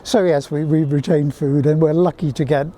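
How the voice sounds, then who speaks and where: A middle-aged man from Fiji, answering interview questions in a reflective, measured way. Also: on the high side, steady tone, dark tone, slight background noise.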